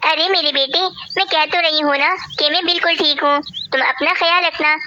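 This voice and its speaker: A self-sacrificing mother bird from a cartoon, voiced by a woman, ailing and meek. The voice is high and bright, with faint noise behind it. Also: steady tone, fairly quick, highs cut off. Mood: reassuring, weary. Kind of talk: Hindi cartoon voice acting.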